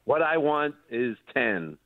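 A man's voice: mid-range voice, very animated, slow pace, no room sound. A man from New York with a nasal New York accent, calling in to a radio show over the phone. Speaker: caller. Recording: dry, dull phone-line audio.

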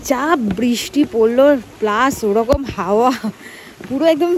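A woman's voice: on the high side, moderate pace. A woman vlogging on a rainy forest trail, chatting to the camera and joking about being soaked. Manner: chatty, self-mocking, talks to camera. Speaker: travel vlogger. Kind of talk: casual travel vlog chat. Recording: outdoor phone audio with loud background noise.

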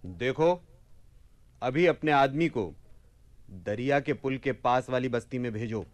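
An actor playing a bewildered young merchant taken for a caliph, with blustering, theatrical delivery in a radio play. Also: male voice, mid-range voice, slow, no room sound, natural voice. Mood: commanding. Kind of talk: radio play acting in theatrical Urdu-flavoured dialogue.

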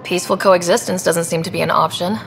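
A woman playing a young, calm and direct space explorer in video game dialogue. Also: on the low side, quick pace, gravelly, a little hiss or hum. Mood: curious, earnest. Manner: straightforward, calm.

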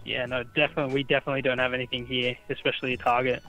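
Australian man, medium-pitched, on customer service. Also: flat delivery, very fast, sharp tone, low-quality recording. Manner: obliging, informal. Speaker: store employee answering phone. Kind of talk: casual customer service phone talk.

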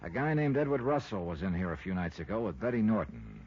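An American actor playing a wry, suspicious insurance investigator with clipped, probing delivery in a 1950s radio detective drama. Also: male voice, sits low, very animated, fast, slightly rough, full character voice. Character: hard-boiled, suspicious male insurance investigator. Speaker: lead actor and narrator. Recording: lo-fi old radio recording, loud noise.

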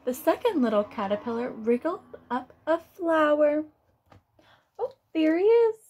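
A woman's voice: high-pitched, expressive, measured pace, darkish tone. A young woman reading a picture book aloud to children, adding exclaiming, casual asides as a teacher would. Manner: exclaiming, child-directed commentary.

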